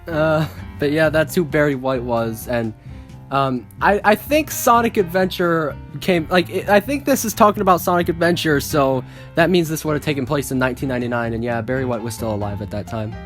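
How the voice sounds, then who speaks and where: A woman's voice: sits low, brisk, faint music behind. A woman casually reading out a script and commenting on it as host.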